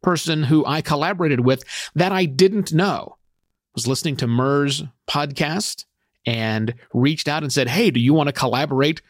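A man's voice: a little high, moderate pace, no echo, studio quality. A middle-aged man with an American accent, hosting a podcast and speaking to listeners with emphatic stress and repeated words. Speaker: podcast host.

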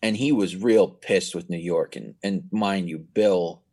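Young man, deep voice, husky, rambling storyteller, casual podcast chat. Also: a little slow, darkish tone. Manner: rambling storyteller, many restarts. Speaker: podcast guest.

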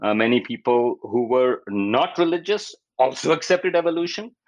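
A man's voice: medium pitch, lively intonation, moderate pace, muffled recording. A man in his fifties with a Pakistani accent, an academic explaining research in an interview and often acting out quotes as he goes. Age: 50s.

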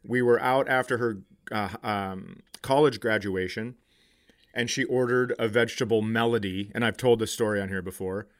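A man's voice: lowish voice, normal speed, clear, resonant tone. Middle-aged man telling comic stories, profane. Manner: profane, animated retelling with acted-out quotes. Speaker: comedian podcast host. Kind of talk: casual comic storytelling.

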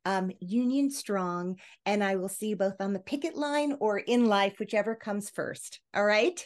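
A woman speaks as a podcast host with a medium-pitched voice. She is effusive and full of appreciation, and talks in a conversational, personal style. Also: measured pace. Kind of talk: warm-worded interview chat and show sign-off.

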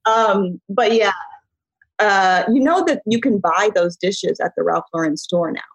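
A middle-aged woman from the Midwest tells stories in easy, chatty fashion, with frequent laughs and plenty of fillers. Her voice is husky and a little breathy, at a middling pitch. The sound is dry and close. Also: measured pace, dry recording, low-quality recording. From Chicago, US Midwest. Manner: chatty storyteller, laughing often, filler-heavy. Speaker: beauty brand founder, guest. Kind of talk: casual interview chat.

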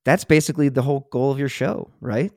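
A man's voice: mid-range voice, quick pace, a little mellow, no echo, studio quality. A man hosting a podcast, talking in a conversational interviewing style. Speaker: podcast host. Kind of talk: conversational interview and show sign-off.

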